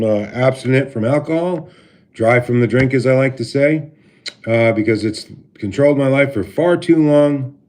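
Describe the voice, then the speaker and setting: A man with a deep voice hosting a casual livestream, greeting viewers by name and talking with many halting filler pauses. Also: darkish tone. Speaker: livestream host. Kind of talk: casual live stream chat with viewers. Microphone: laptop mic.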